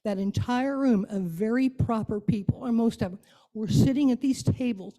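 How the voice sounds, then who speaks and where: A woman's voice: a little high, normal speed, dark tone. A woman giving an informal, playful and conversational talk to a group, coaxing them to join in. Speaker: guest speaker leading an interactive church talk.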